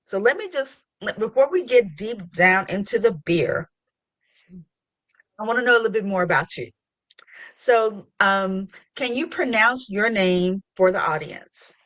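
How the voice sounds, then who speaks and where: A woman's voice: medium pitch, expressive, a little slow, fairly bright tone, dry recording. Chatty woman hosting a podcast, dry sound. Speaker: podcast host. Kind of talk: casual podcast chat and interviewing.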